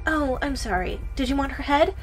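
A woman's voice: high-pitched, animated, loud music behind the voice, rough recording. A woman playing a sadistic, obsessively jealous giantess in an ASMR audio roleplay, gloating and teasing. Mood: gloating, possessive. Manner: gloating, teasing, drawn-out delivery.

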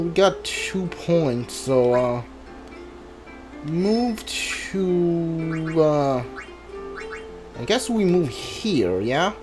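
A man streams a game with casual commentary. His voice sits a little high and he talks slowly, thinking aloud in a hesitant way. Soft music plays behind him. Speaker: gaming streamer.

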